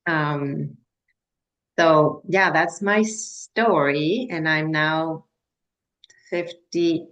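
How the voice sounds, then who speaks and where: A woman in her fifties from Mexico works as a menopause wellness coach. Her voice sits low and she takes her time, circling back with "like" and restarting her sentences. She is talking casually over a video call. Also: steady tone, fairly bright tone. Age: 50s. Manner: halting, full of restarts and "like" fillers.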